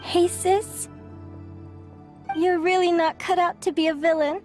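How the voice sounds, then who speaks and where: A gentle, high-voiced younger sister. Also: female voice, steady tone, unhurried, faint music behind. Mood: tender. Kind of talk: video game voice acting.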